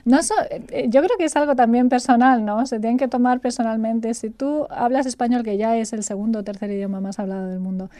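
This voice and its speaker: A woman from Spain, a guest talking about her consulting work in a relaxed interview, chatty and laughing often, repeating words as she searches for them. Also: on the high side, fairly quick, few pauses, no room sound, studio quality. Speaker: guest, artificial intelligence consultant.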